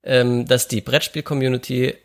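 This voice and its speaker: A man's voice: mid-range voice, level tone, normal speed. A man hosting a podcast in a casual chat, hesitant and using many filler sounds. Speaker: podcast host.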